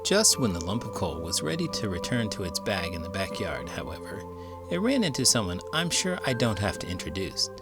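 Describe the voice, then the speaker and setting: A man hosting a children's read-aloud, narrating in an expressive, theatrical storytelling style. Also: on the low side, fairly quick, over loud music.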